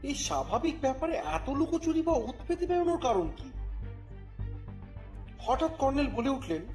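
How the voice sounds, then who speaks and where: Grumbling reporter narrator, high male voice, expressive, wry, detective audio story, music underneath. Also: moderate pace. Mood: puzzled, suspenseful. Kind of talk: dramatized first-person story narration.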